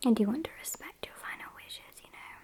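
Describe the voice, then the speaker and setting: A British woman voicing a sassy, morbid female vampire in a soft, breathy whisper for an ASMR roleplay. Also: close to an everyday voice. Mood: morbid, fussy. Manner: slow, hushed, matter-of-fact, mildly scornful. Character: sassy, morbid female vampire kidnapper tending her victim. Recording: hissy close-up whisper recording.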